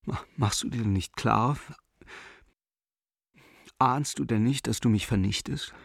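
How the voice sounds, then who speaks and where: Desperate man pleading, gravelly and hushed. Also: medium pitch, no echo, clean studio sound, exaggerated voice. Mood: agitated, accusing. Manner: halting, imploring, breaking into whispers. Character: desperate frightened German man pleading by letter with old friend. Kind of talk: radio drama letter monologue.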